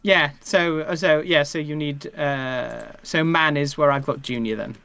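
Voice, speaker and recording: A man's voice: fairly high pitch, a little slow, gravelly, bright, forceful tone, clean tone, no room sound. A man chatting casually on a livestream, hesitant and often stumbling back over his words. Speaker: podcast writer-performer on a livestream. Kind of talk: casual collaborative chat.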